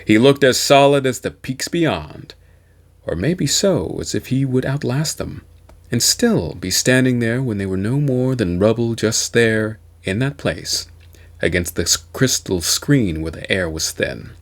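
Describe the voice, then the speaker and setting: A man reading aloud and chatting as an amateur host, halting and self-deprecating in manner. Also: medium pitch, animated. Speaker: amateur audiobook reader and channel host. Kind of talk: narrated novel reading and casual vlog chat.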